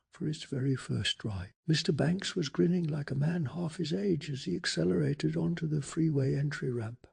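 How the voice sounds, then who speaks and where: Soft-spoken, husky man narrating bedtime stories. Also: a little high. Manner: calm, measured, gentle delivery. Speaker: narrator of a bedtime story channel.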